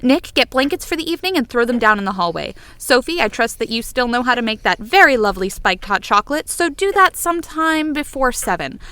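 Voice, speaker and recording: A woman's voice: on the high side, big pitch swings, brisk, few pauses, bright, forceful tone, clean tone, no room sound. A woman playing an exuberant, bossy, playful friend with a theatrical, wordy manner in a fiction podcast. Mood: excited, bossy.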